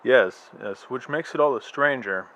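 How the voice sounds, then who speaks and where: An actor playing a male astronomer who explains things in a measured, slightly hesitant way. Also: medium pitch, a bit bright, lo-fi sound, lightly acted. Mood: reassuring. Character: calm, reassuring male astronomer.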